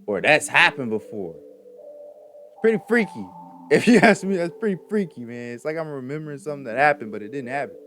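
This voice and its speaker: A man's voice: a little high, sing-song, fairly quick, a bit bright, faint music behind. A young man hosting a reaction video, chatting casually in slangy, informal language and often repeating himself.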